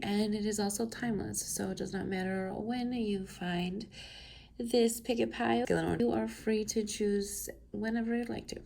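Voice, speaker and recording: Woman tarot reader talking to camera, stuffy head cold, some vocal fry, chatty and rambling. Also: mid-range voice, warm tone. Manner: chatty, rambling asides with many fillers. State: has a cold, stuffed up and coughing. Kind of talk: casual pick-a-pile tarot reading to camera. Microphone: camera mic.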